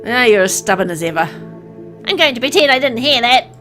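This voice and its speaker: Caring, stubborn young woman, acted by a female reader, brisk and affectionate, visual novel, music underneath. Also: a little high, lively intonation, a bit bright, pitched above the performer's own voice. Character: caring, stubborn young woman visiting her sick partner.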